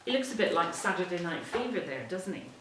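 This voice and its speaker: A woman reading a children's storybook aloud like a teacher, expressive, with asides to the listeners. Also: lowish voice, a little fast, slight background noise. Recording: echoey room, distant mic.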